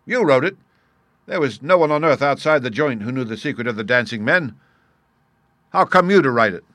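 A man's voice: fairly low pitch, normal speed, fairly bright tone, no room sound, natural voice. A man voicing a proud, swaggering American criminal in an audiobook, blunt and defiant in his speech. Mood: suspicious, agitated. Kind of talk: audiobook character dialogue.

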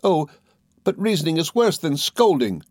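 A man's voice: on the high side, lively intonation, moderate pace, darkish tone, no echo, clean studio sound, voice pushed up, lightly acted. A man voicing a childish, tearful young wife in an audiobook, piteous, pleading and pouting. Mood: piteous, despairing. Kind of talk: audiobook character dialogue.

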